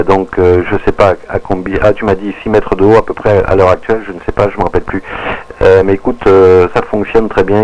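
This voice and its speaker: A man's voice: low-pitched, darkish tone. A man talks casually over amateur radio. He feels his way through sentences and repeats phrases. The audio is dull and lo-fi. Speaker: amateur radio operator recording the contact. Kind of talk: casual ham radio chat.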